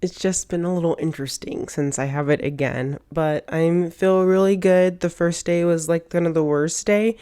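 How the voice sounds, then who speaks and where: A woman's voice: on the low side, steady tone, normal speed, few pauses, mellow, smooth. A young woman hosting her own podcast, gushing and bubbly with hype-girl slang, sounding a little congested from a cold. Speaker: podcast host. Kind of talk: upbeat solo podcast monologue.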